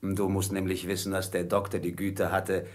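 An actor playing a cunning, commanding pirate ringleader in a radio play, theatrical, by turns wheedling and menacing. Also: male voice, sits low, monotone, moderate pace, natural voice. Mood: smoothly persuasive.

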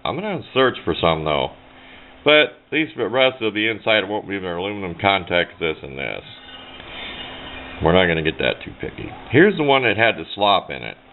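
A man giving casual hands-on commentary at a workbench, talking in a folksy, rambling way. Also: low-pitched. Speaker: hobbyist radio video maker. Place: indoor workbench. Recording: tinny, band-limited camera mic with noise.

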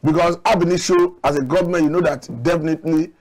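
Middle-aged man, studio guest, lapel mic, fairly high voice, measured and argumentative. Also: steady tone, darkish tone, low-quality recording. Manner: measured, argumentative, occasional restarts. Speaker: public affairs analyst guest. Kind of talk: studio TV discussion. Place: TV studio set.

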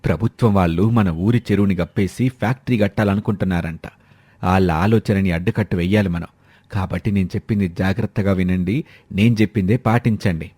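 Man playing a mellow, shrewd father-in-law. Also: low-pitched, brisk, husky, no room sound. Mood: instructing. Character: village father-in-law, shrewd, instructive family elder.

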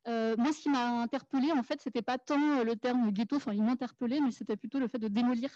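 A woman's voice: a little high, steady tone, fast, mellow, no echo. A woman from the Paris suburbs making an earnest, articulate argument from the audience at a debate. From Paris suburbs, France. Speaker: urban planner and activist in the audience. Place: small crowded café room. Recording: dull, lo-fi event recording.

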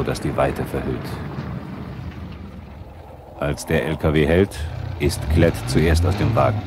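Sober probing narrator, very deep voice, scripted radio drama, noisy 1970s recording. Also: male voice. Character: sober probing male narrator who challenges the workers. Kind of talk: scripted radio play narration, questioning the characters.

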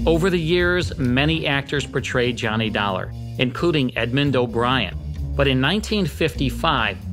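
An American man in his fifties hosting a podcast with scripted narration introducing classic radio drama. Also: mid-range voice. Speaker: podcast host. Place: podcast studio. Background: music.

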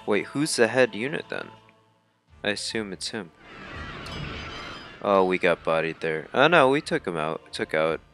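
A man's voice: on the low side, lively intonation, measured pace, long pauses, fairly bright tone. A man giving casual, laid-back commentary while playing a video game. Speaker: let's-player. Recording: game music behind. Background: video game music.

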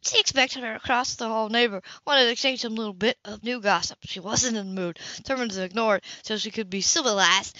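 Bright-voiced woman reading a novel, lo-fi. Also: a little high, dry recording. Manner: stumbles over words while reading. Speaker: amateur audiobook reader. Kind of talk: scripted narration of a romance novel. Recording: dull, lo-fi home recording.

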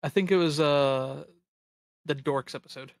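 A woman's voice: low voice, a little slow, vocal fry, a crowd in the background. A woman co-hosting a casual livestream, talking tentatively as if thinking aloud. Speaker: stream co-host.

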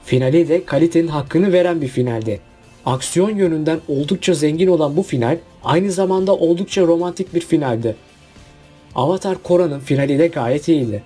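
A man's voice: a little high, brisk, warm tone, husky, soft background music. A man narrating a casual countdown video about cartoon finales in a chatty voice-over.

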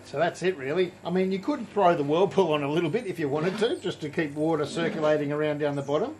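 A middle-aged man giving a casual hands-on home brewing demonstration, explaining in a relaxed way as he works in an indoor home brewery. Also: a little high, quick pace, slight background noise. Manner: relaxed, explanatory, thinking aloud while working.

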